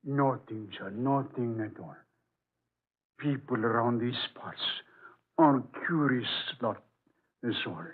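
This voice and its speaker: Jittery servant in old radio play, slow n stammery, dodges questions politely, tinny narrow sound. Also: male voice, mid-range voice, breathy edge. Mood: nervously reassuring. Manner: halting, stammering, servile. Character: evasive, nervous, deferential superstitious manservant. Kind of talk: 1940s radio drama acting.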